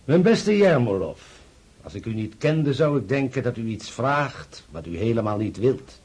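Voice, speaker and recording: A man's voice: medium pitch, very animated, moderate pace, darkish tone, breathy edge. A man acting a shrewd, superior senior general in a radio play, condescending and pointed. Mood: sardonic.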